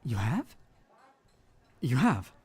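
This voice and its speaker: An actor playing a nervous, apologetic, lovestruck ventriloquist in a noir audio drama, stammering and fretfully repeating himself. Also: male voice, medium pitch, wildly swooping pitch, extremely slow, a little mellow, husky, full character voice. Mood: uneasy.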